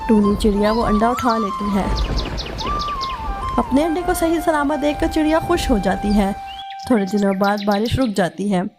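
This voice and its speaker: A woman's voice: medium pitch, lively intonation, normal speed, loud music behind the voice. A woman giving scripted narration of a children's moral story.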